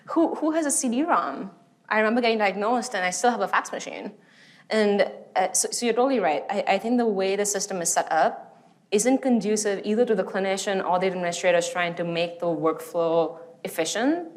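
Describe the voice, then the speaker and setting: A woman moderating a panel discussion among clinicians, polite and thanking each speaker. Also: medium pitch.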